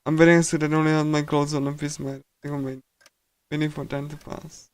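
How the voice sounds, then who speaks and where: A man reading a visual novel's text aloud on a casual live stream, in a halting, stumbling delivery. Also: on the high side, flat delivery, clean tone, dry recording.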